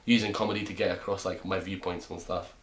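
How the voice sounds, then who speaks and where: A man's voice: sits low, flat delivery, fast talker. A man in his twenties from Romania, a comedian guest chatting casually and joking in a rambling way. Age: 20s. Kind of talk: casual chat.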